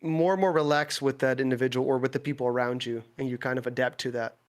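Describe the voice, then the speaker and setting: A man's voice: mid-range voice, fairly even intonation, fast talker. A young man from the Netherlands chatting casually, reflective and hesitant, in English with an American-sounding Dutch accent. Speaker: podcast guest, gaming content creator. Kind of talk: casual chat.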